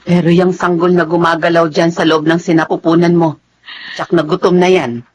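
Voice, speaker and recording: A woman's voice: lowish voice, flat delivery, moderate pace, close to an everyday voice. Emotional Filipino mother, played by a woman. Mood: gentle, remorseful. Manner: emotional, confrontational delivery. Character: distraught, strict but loving middle-aged adoptive mother. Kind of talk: Filipino radio drama acting.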